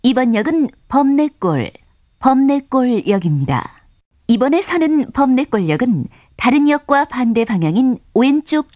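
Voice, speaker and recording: A woman's voice: fairly high pitch, a little mellow, dry recording, clean studio sound. A woman reading scripted subway station announcements in a formal, carefully enunciated manner. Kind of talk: scripted public transit announcement.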